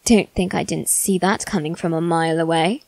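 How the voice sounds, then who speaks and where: A woman's voice: lowish voice, fairly even intonation, moderate pace, vocal fry, a little mellow, dry recording. A woman playing a teasing, haughty young male demon servant in a theatrical, mock-polite ASMR roleplay. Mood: teasing, smug. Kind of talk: ASMR character roleplay addressed to the listener.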